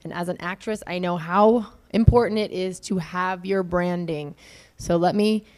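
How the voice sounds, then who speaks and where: A woman's voice: fairly low pitch, steady tone, moderate pace, darkish tone. A woman giving a prepared introduction of a speaker at a live event.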